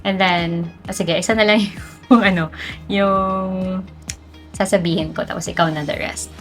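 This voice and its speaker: Low-pitched Filipino woman chatting. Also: steady tone, moderate pace, faint music behind. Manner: mixes English with Tagalog. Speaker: podcast co-host. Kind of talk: casual reflective talk about personal experience.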